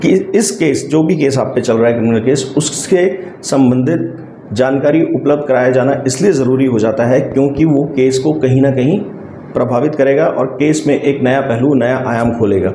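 Male legal explainer, forties, Indian accent, soft dark tone, instructive delivery, roomy echoing sound. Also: mid-range voice, normal speed. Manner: didactic, uses English terms often. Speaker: legal advisor and video host. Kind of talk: explanatory legal talk to camera. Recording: roomy echo, faint background noise.

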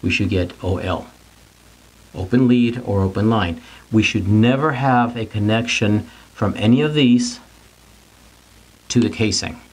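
Male instructor, middle-aged, lowish pitch, plain-spoken and informal, explains technical material in small repeated steps. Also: measured pace, pauses often, breathy edge, poor audio. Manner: plain-spoken, repeats and rephrases points step by step. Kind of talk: whiteboard lesson, informal explanation.

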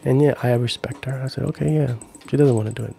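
A man's voice: mid-range voice, steady tone, quick pace, creaky, dark tone. Casual male livestreamer, forties, mid-range voice, playful and easygoing, close-miked in a home room. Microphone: handheld-style recorder on a stand, close up.

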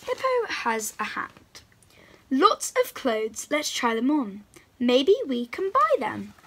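A young girl hosts a read-aloud for small children. Her voice is high and clearly bright. She addresses her listeners in an excited, encouraging way, as if guiding them through a picture book at home. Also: slow, a little hiss or hum. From England. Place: small room at home, indoors.

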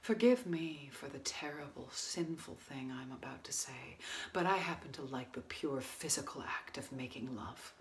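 An actress plays a cynical, blunt seductress in a theatrical monologue. She keeps it low-key and deliberate, with a cutting scorn. The delivery often sinks into a breathy near-whisper. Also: lively intonation, a little hiss or hum. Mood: scornful, sensual.

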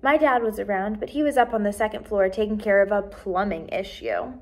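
A woman in her twenties reading a children's book aloud with expressive, clearly enunciated, emphatic phrasing for young listeners. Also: mid-range voice, brisk. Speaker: first grade teacher reading aloud.

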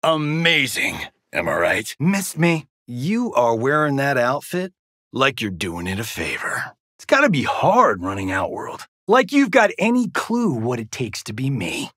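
A man's voice: mid-range voice, wildly swooping pitch, unhurried, a bit bright, no room sound, studio quality, exaggerated voice. An actor playing a cocky, wisecracking male Hollywood action star in a fighting video game, with a smug, flippant, showboating delivery. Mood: boastful.

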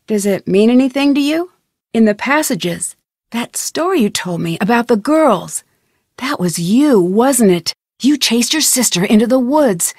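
Curious young woman detective, fairly high voice. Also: a little mellow, no room sound, clean studio sound. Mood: sympathetic, probing. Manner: inquisitive, polite, clearly enunciated. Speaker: game protagonist. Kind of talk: scripted video game dialogue.

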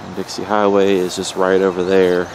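A man with a Kentucky accent narrating his exploration slowly and hesitantly, recorded on a handheld camera outdoors in overgrown fields. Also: low voice, completely flat delivery, poor audio. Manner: slow, hesitant, with fillers. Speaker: urban explorer vlogger. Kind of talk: casual vlog narration. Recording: outdoor camera audio with clear background noise.

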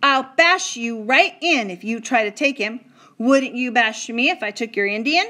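A woman doing a shrill yelling boy. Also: big pitch swings, slow pace, full character voice. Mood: defiant. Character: excitable young boy.

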